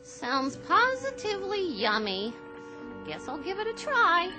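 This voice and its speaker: An actress playing a wry, dryly sarcastic office worker in a radio drama. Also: female voice, extremely high pitch, wildly swooping pitch, measured pace, music in the background, full character voice. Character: wry female office worker on coffee break.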